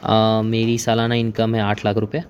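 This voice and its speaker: A man's voice: fairly low pitch, monotone, moderate pace, noisy background. A man in his twenties on a phone call as a customer, hesitant and rambling, with many fillers. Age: 20s. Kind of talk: casual phone conversation. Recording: lo-fi phone line.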